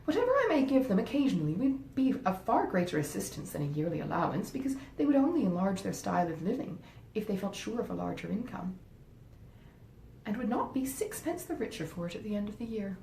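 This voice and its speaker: A self-satisfied, tight-fisted storybook gentleman, voiced by a woman reader, talks in formal, measured phrases. The tone is mellow and the intonation varied. It sounds recorded in an echoey room. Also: sing-song, creaky. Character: pompous, easily persuaded, miserly gentleman husband. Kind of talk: dramatized audiobook reading of a classic novel. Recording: roomy echo, faint rumbling noise.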